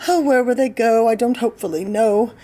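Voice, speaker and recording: A woman's voice: fairly high pitch, fairly quick, dark tone, close to an everyday voice. A woman voicing a small grumpy male forest creature who scolds and pleads, as in a read-aloud storybook. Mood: pleading.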